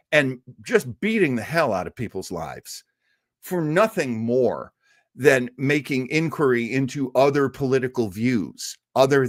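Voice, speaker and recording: Male host, casual chatter, animated pitch, dry close mic. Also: no echo. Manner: chatty and enthusiastic, with frequent fillers and restarts. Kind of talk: casual livestream chat.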